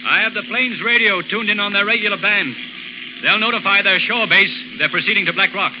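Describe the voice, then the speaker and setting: Guy acting as calm serious american gentleman hero, highish voice, noisy old 30s radio drama. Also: a little fast, natural voice. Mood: assured. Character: composed gentleman adventurer, assured and serious. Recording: muffled noisy 1930s radio recording.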